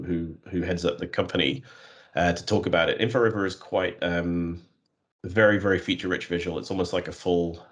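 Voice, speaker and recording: A man in a conversational interview, speaking in a flat, monotonous way with many fillers and restarts. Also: low voice. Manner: self-described flat, monotonous voice. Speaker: guest, custom visual developer.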